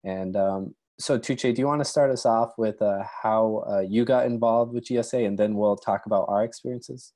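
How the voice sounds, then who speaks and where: Young guy with a cold, low dark-ish voice, lots of ums, talking on earbuds in a small room. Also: fairly even intonation, normal speed, few pauses. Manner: easygoing, many ums and uhs. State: has a cold, near losing his voice. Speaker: doctoral candidate, webinar moderator. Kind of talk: casual webinar talk. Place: small room at home, indoors. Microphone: earbud headset mic, video call.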